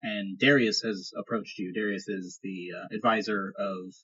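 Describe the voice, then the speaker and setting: Low, husky male game master. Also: steady tone, bright, forceful tone, highs cut off. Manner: halting, repeats phrases. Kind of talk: improvised tabletop game narration.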